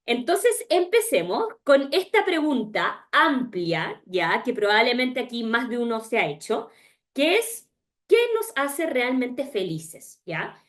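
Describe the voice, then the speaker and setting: A woman with a Chilean accent speaks over a video call, her voice sitting a little high. She leads a webinar in an engaged way, posing questions to listeners and checking in with quick tags. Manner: engaged, asks rhetorical questions, often tags "¿ya?". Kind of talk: presenting a webinar.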